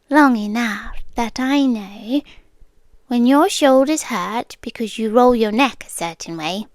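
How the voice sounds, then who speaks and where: A young British woman voicing an obsessive young girlfriend who has kidnapped her partner, speaking softly and often dropping into whispers, close to the microphone in an ASMR roleplay. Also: sits high, no echo, exaggerated voice. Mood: tender, eerie. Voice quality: calm, intimate, unsettling. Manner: soft-spoken, slipping into whispers.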